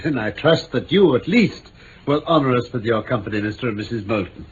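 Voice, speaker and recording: A man's voice: mid-range voice, lively intonation, a little fast, lightly acted. Seeking a man to play a courteous, self-assured British detective for old radio drama: swooping intonation, precise explanations, faint hiss. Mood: gracious. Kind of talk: 1950s-60s BBC radio drama acting. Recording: dull vintage radio recording, faint noise.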